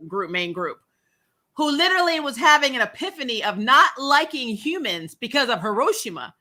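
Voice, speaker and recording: A woman hosting a casual movie review panel, talking in an animated, jokey and blunt way. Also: high voice, moderate pace. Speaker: host of a movie review show.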